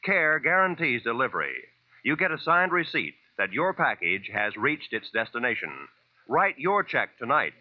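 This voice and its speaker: A man's voice: mid-range voice, very animated, moderate pace, dry recording. A man with an American accent reading scripted announcements and appeals in a formal 1940s radio style. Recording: muffled dry vintage radio recording.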